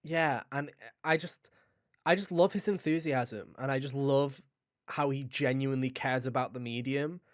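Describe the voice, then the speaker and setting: A man's voice: medium pitch, moderate pace, no room sound, clean studio sound. A man co-hosting a podcast, chatting casually and enthusiastically about video games with informal slang. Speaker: podcast co-host.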